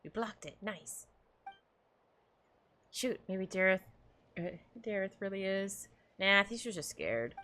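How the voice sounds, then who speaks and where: A woman's voice: mid-range voice, sing-song, measured pace, long pauses, sharp tone, slight background noise. A woman streamer chatting casually and giggling as she plays through a game. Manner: chatty, giggly, talks to herself while playing. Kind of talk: casual playthrough commentary. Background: game music.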